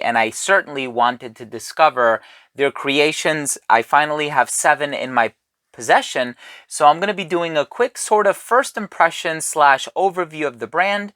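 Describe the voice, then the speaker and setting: A man's voice: a little high, sharp tone, dry recording, clean studio sound. Chatty man reviewing perfumes. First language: English. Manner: chatty, thinks aloud while smelling. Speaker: fragrance reviewer. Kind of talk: casual sponsored haul video.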